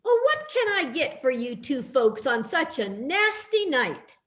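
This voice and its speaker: A woman's voice: high-pitched, big pitch swings, measured pace, a bit bright, heavily acted. A woman playing a weary, wry widowed bartender in an amateur radio-style play. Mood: wry, welcoming.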